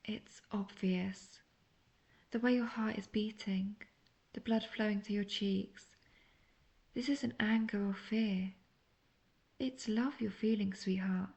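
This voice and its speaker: Woman acting a creaky-voiced, obsessive lovestruck witch. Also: medium pitch, steady tone, darkish tone. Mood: infatuated, breathy. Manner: breathy, slow, coaxing, often half-whispered. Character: obsessive young female witch, lovestruck and manipulative. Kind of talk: intimate roleplay audio drama.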